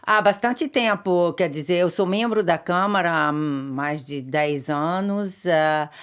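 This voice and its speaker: A woman with a notably low voice answers questions in a studio. Her delivery is thoughtful and hesitant, with frequent fillers and restarts. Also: slow, clear, resonant tone. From Brazil. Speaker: interview guest, chamber of commerce president. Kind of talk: interview answers. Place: radio studio.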